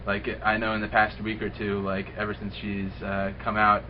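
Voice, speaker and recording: A low-voiced man talks haltingly, stalling on fillers and restarting his words. The audio is dull webcam sound with clear hiss behind him. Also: monotone. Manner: halting, with many fillers and repeats. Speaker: interviewee.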